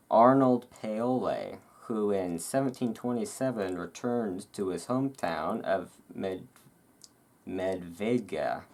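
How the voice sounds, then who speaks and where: Slow, low-pitched relaxed reader. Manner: informal, self-deprecating over hard words. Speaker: channel host reading aloud. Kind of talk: relaxed ASMR-style magazine reading with casual asides. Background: thunderstorm ambience.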